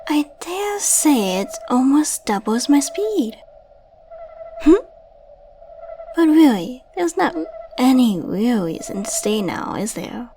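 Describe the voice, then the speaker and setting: A playful female secret agent, acted by a woman in an audio roleplay, her voice on the high side. She is coy and affectionate, teasing the listener with chatty little questions. Clear background noise of effects sits behind her. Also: big pitch swings, slow, pauses often, dark tone, exaggerated voice. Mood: flirtatious. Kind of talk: scripted ASMR roleplay, addressing the listener. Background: ambient sound effects.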